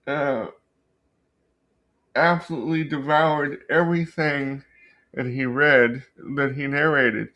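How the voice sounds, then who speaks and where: A man hosting a book channel, telling a story casually and unscripted, haltingly searching for words and often rephrasing himself. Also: a little high, fairly bright tone. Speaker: book review channel host.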